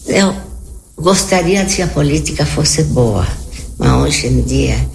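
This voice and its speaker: A woman's voice: sits low, animated, takes it slowly, a little mellow. An elderly native Spanish-speaking woman from Argentina with an Argentine Spanish accent, reminiscing conversationally in an interview, repeating words and checking for agreement. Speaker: interview guest.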